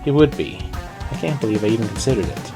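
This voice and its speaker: A man's voice: on the low side, expressive, fast talker, over music. A man voicing a level-headed, skeptical female pony shopkeeper with casual, reasoning speech in a storybook reading. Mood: puzzled.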